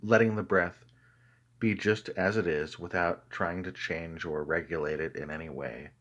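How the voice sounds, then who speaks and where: A man narrating a guided meditation in a calm, measured way, with deliberate pauses between phrases. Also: low voice, muffled recording.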